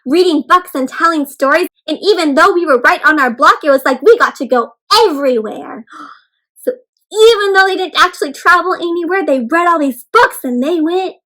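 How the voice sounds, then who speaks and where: A woman with a high voice reads a picture book aloud to young children. She is expressive and encouraging, pausing to put questions to her small listeners and talk directly to them. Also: poor audio. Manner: addresses listeners as friends. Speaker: children's read-aloud host. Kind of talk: interactive storybook read-aloud for young children.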